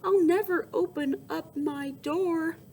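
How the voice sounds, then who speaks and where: A small, plucky young storybook alligator boy, played by a woman. The voice jumps high and squeaky as it calls out with scrappy defiance, over some background noise. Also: takes it slowly, heavily acted. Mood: scared, defiant. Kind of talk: storybook read-aloud.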